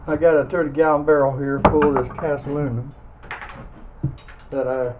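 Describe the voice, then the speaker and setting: A man's voice: on the high side, fairly even intonation, moderate pace. Phone-like, noisy recording of folksy man. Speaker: scrap metal hobbyist demonstrating teardown. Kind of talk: casual hands-on tutorial narration. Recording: muffled phone-like audio, loud background noise.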